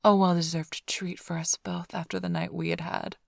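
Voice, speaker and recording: A woman playing a flirtatious, smug vampire thief in an intimate ASMR roleplay, teasing and sly in a breathy, often whispered voice. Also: fairly low pitch, sing-song, moderate pace, dry recording, studio quality, full character voice. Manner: teasing, purring, theatrically sly. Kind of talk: ASMR roleplay, intimate close address to listener.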